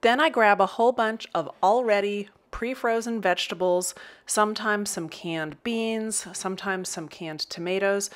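Practical woman talking in an echoey kitchen. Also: mid-range voice. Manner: conversational, practical, upbeat. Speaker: vlogger giving meal prep tips. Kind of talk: casual advice to camera. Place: home kitchen, indoors. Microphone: webcam or laptop at close range. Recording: echoey room sound.

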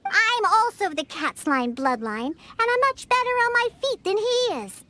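A woman's voice: extremely high pitch, sing-song, moderate pace, pushed and bright, slight background noise, heavily acted. A woman voicing a sassy, grumpy cat-eared little girl bartender in a video game, huffy and haughty. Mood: exasperated, determined. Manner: huffy, haughty, emphatic delivery. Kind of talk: scripted video game dialogue. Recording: music behind. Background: background game music.

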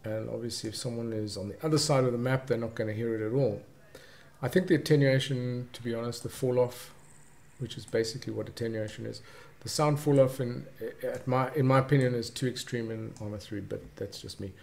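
A man presenting a casual software tutorial, explaining things and defining terms as he goes. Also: medium pitch, steady tone, brisk, creaky.

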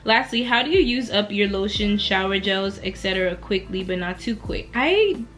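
A woman in her early twenties chatting casually through a Q&A vlog while lying back on her bed at home, relaxed and laughing often between answers. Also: mid-range voice, normal speed, faint music behind. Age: 20s. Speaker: beauty and lifestyle YouTuber.

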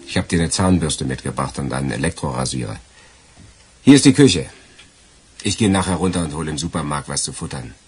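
An actor playing a cold-blooded, mocking crook with a husky, rough voice in a crime radio drama. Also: male voice, rumbling low, lively intonation, fairly quick, a little mellow, exaggerated voice. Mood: brisk, businesslike. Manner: mocking, theatrical delivery. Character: cold-blooded, gloating male crook betraying his friend.

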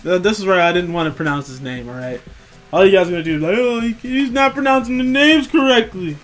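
A man's voice: high-pitched, fast talker, fairly bright tone, soft background music. A young man rambling casually about collectible figures, profane and tired after work, tripping over names. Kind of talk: casual commentary over screen images. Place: home room.

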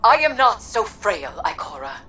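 An actress voicing a brooding, vengeful occult sorceress in a video game, solemn, formal and defiant. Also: female voice, medium pitch, big pitch swings, normal speed, bright, forceful tone. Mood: pleading, resolute. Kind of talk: theatrical video game dialogue. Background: music.

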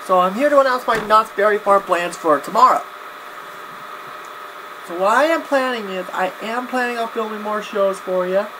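Rambling young man vlogging over background noise. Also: high-pitched. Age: young adult. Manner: rambling, halting, backtracks and repeats phrases. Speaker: video blogger. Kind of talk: casual vlog announcement to camera. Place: small cluttered home room, indoors. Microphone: webcam at desk distance. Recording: clear background noise, webcam-grade audio.

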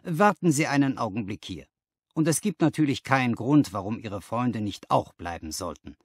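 A man's voice: mid-range voice, expressive, normal speed, a little mellow, breathy edge, no room sound, studio quality. A man voicing a polite, strained Victorian company director in an audiobook, formal and controlled.

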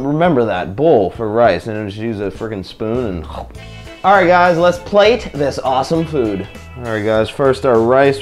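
Chatty young man cooking at home. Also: mid-range voice. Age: young adult. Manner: chatty, loose, slangy, talks to viewers while cooking. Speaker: vlogger. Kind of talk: casual cooking vlog. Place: home kitchen, indoors.

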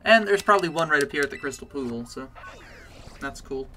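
A man with a bass voice streaming a game, chatting casually and getting excited or pleading with the game as he plays. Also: brisk, a little hiss or hum. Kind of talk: casual gameplay commentary. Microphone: desk mic with webcam.

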